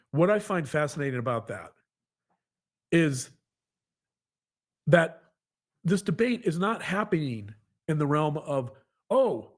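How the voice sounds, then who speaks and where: A man with a fairly high voice talks in an earnest, conversational monologue. He is halting and repetitive, circling back to his points and stressing them. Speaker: podcast co-host, psychologist.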